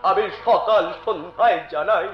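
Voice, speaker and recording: An actor playing a devoted, earnest younger brother in Bengali folk theatre, speaking in a declamatory, emotional way. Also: male voice, high voice, moderate pace, lightly acted. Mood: reverent, fervent. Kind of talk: Bengali folk theatre (jatra) stage acting. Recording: echoey, dull stage recording with music.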